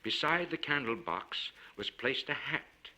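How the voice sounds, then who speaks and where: A man reading a literary story aloud in a measured, formal and expressive way. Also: mid-range voice. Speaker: audiobook narrator.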